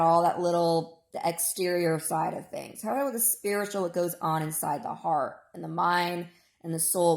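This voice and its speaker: Hoarse, low-voiced woman in an echoey room. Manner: digressive, opinionated, informal. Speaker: vlogger. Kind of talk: rambling personal monologue.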